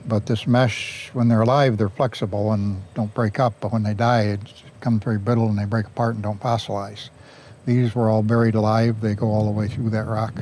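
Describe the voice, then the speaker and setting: An older man with a mellow, warm voice leads a tour of his rural garden, telling stories in a folksy, unhurried way. He is recorded outdoors on a lapel mic, with faint country ambience behind him. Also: fairly low pitch, little pitch movement, slight background noise. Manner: folksy, unhurried, chuckles at own jokes. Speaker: garden owner giving a tour. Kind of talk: casual storytelling tour.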